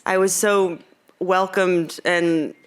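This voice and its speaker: A woman with an American accent and a fairly low voice answers as an actress at a press conference. She is chatty and bubbly, rambling a little as she goes. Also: steady tone, slow pace, clean tone. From United States. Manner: bubbly, chatty, laughs easily.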